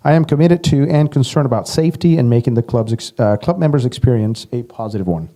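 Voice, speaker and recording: A man with a mid-pitched, mellow voice reads a prepared statement. He is standing in for a candidate at a meeting. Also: quick pace. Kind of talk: reading a prepared statement aloud.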